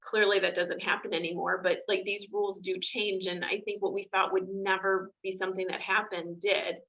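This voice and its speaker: A woman immigration attorney chats over a video call, loose and conversational with plenty of fillers. Her voice is bright and forward. The call audio is a little dull and lo-fi. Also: medium pitch, little pitch movement, moderate pace. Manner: chatty, many fillers. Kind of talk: video call panel discussion.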